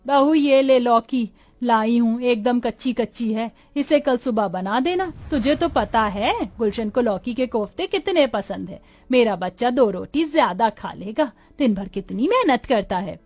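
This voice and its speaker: Cartoon older mother-in-law, played by a woman: high voice, doting but worn down, drawn-out complaints. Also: animated, darkish tone, no echo, soft background music. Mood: gently coaxing. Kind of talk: cartoon moral story acting.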